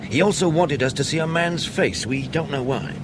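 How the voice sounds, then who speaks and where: An actor playing a wry British intelligence officer in a radio drama, questioning in a measured, pressing way with dry understatement. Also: male voice, fairly high pitch, natural voice. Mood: probing, insistent. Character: probing, wry British male intelligence officer debriefing an agent. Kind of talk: naturalistic radio drama acting. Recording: clear ambient noise behind the voice.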